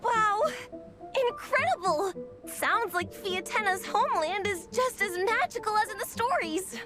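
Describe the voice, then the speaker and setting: A woman's voice: very high voice, animated, unhurried, music in the background. A woman voicing an earnest young athlete in a video game, awed and eager but unsure of herself. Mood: starstruck. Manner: eager yet unsure, breathless with awe.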